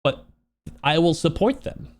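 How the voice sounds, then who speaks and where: Emotional, halting man, slightly high voice. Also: very animated. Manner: halting, repetitive, earnest. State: emotional, upset, close to crying. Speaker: streamer and video creator. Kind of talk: unscripted personal vlog monologue.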